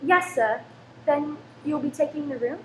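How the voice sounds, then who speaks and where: A woman's voice: high-pitched, measured pace, a little mellow. An actress in a radio play playing a deferential married landlady who is polite, eager and anxious to please. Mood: obliging. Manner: servile, polite, flustered when rebuked. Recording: roomy echo with faint background noise.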